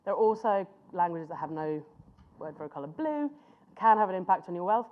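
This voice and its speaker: A woman presents a master class to a live room, speaking conversationally and sometimes stopping to hunt for an English word. Her voice sits slightly low for a woman. The recording is muffled and lo-fi. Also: big pitch swings, a little hiss or hum. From Finland. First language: Finnish. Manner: conversational, pauses to search for words. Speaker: conference speaker.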